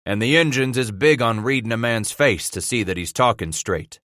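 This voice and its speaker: A man reading aloud, voicing a steady, plain-spoken male brigade leader with a frontier drawl. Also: mid-range voice, normal speed, no room sound, studio quality, natural voice.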